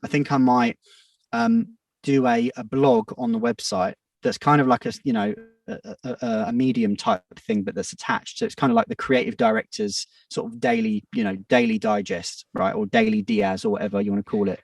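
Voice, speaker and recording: A man's voice: mid-range voice, expressive, moderate pace, creaky, no echo, recorded from a distance. A man in his thirties, a music producer and DJ, talking in a reflective, rambling way with many restarts. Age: 30s. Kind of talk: casual podcast conversation.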